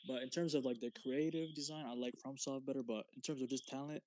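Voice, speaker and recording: A man in casual gaming podcast chat, agreeable and relaxed, who calls his friend "bro". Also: medium pitch, fast, no echo. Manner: agreeable, says "bro" a lot. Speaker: podcast co-host.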